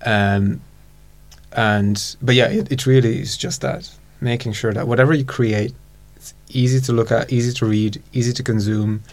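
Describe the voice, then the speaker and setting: A man's voice: medium pitch, fairly even intonation, normal speed. A man with a Hungarian accent, a guest expert on a casual podcast, thoughtful and laughing easily as he explains.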